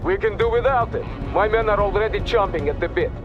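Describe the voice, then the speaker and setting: An actor playing an aggressive Soviet captain with a Russian accent, speaking in clipped military phrases for a video game. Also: male voice, high-pitched, a little fast, highs cut off, over music, close to an everyday voice. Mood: impatient, aggressive. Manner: clipped military radio speech. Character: hot-headed, aggressive Soviet army captain.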